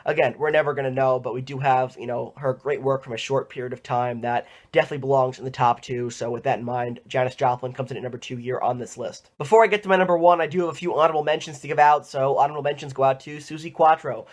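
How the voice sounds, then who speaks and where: Man, nasal from a cold, brisk pace, monotone pitch, chatty countdown host. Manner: chatty, many fillers like "you know". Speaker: music video host. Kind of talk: ranked countdown commentary.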